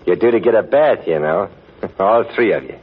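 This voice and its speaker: A man's voice: on the low side, animated, a little slow, breathy edge. An actor playing a dim, gloating gangster henchman with slangy tough talk in a 1940s radio drama. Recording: dull vintage 1940s radio recording.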